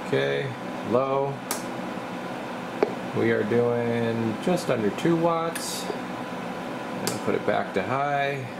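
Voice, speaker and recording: Male technician, casual and halting, creaky voice, explaining bench work over noticeable background hum. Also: medium pitch. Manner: informal, halting, thinking aloud while working. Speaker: radio repair technician presenting his work. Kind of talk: casual hands-on repair walkthrough. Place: indoor electronics workbench.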